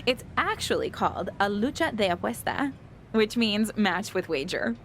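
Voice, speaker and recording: A woman's voice: mid-range voice, sing-song, moderate pace, a bit bright, slight background noise. A woman playing an inquisitive documentary interviewer in a scripted video game cutscene.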